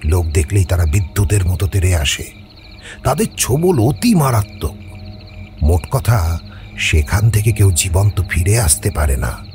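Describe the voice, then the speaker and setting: A man's voice: deep voice, big pitch swings, a little slow, a little mellow, faint music behind, heavily acted. A man playing a superstitious village servant who gives ominous, deferential warnings in an audio story. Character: superstitious local male servant giving fearful warnings.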